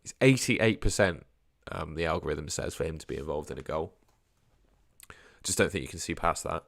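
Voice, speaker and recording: Young male streamer, a bit hoarse from a sore throat, low voice, hedges a lot and drops off quietly at line ends. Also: animated, moderate pace, no room sound, studio quality. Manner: hedging, trails off quietly at phrase ends. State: sore throat, losing his voice. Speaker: fantasy football livestream host. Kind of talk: casual live stream chat answering viewers.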